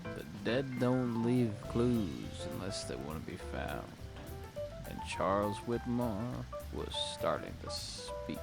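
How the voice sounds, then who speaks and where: An actor playing a world-weary, hard-boiled private detective, narrating a noir audio drama in a wry, brooding, gravelly voice. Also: male voice, fairly low pitch, very animated, takes it slowly, exaggerated voice. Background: music.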